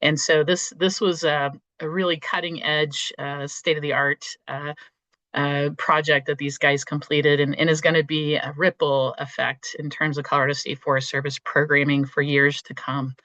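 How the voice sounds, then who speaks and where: Croaky host with a cold. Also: medium pitch, flat delivery, pushed and bright, dry recording. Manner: enthusiastic, halting with many fillers. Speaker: meeting host from a state forestry agency. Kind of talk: online meeting remarks.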